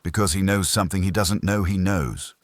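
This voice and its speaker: A man with a husky voice voicing a shrewd, unrepentant professional woman in an audiobook detective story, clipped and defiant. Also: low voice, steady tone, fairly quick, dry recording, voice pushed up, close to an everyday voice. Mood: coolly confessing.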